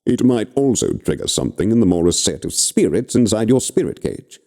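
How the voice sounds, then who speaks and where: A man's voice: on the low side, sing-song, fairly quick, dark tone, big-room sound, voice pushed up. A man voicing a spirit that speaks inside a hero's mind, wry and explanatory, with cautioning asides.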